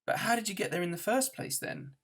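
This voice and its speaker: A man's voice: high-pitched, expressive, fairly quick, fairly bright tone, pitched above the performer's own voice, heavily acted. A man voicing a bewildered, upset but polite hitchhiker in a storybook, questioning and indignant. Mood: puzzled.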